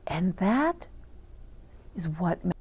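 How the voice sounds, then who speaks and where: A woman's voice: on the low side, very animated, slow pace, darkish tone, breathy edge. A woman reading a children's storybook aloud as a story time host, with short explanatory asides to young listeners.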